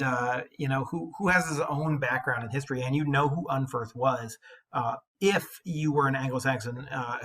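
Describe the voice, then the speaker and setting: A man giving a casual lesson as a literature teacher, informal and halting, with slangy asides. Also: mid-range voice, a bit bright, husky. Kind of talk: casual explanatory lesson commentary.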